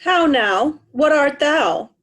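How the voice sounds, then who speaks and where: A woman's voice: high-pitched, animated, slow, fairly bright tone, heavily acted. A woman playing an imperious, impatient old king in a readers' theatre Shakespeare play. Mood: brusque, probing. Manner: commanding, peremptory questioning.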